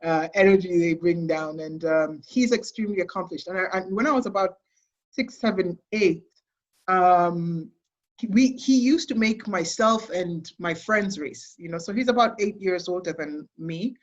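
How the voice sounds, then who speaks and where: A woman's voice: on the low side, moderate pace, lo-fi sound. A young adult Kenyan woman with a Kenyan accent, a guest talking enthusiastically in a casual interview, with frequent laughs and restarts. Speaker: guest, sports consultancy CEO.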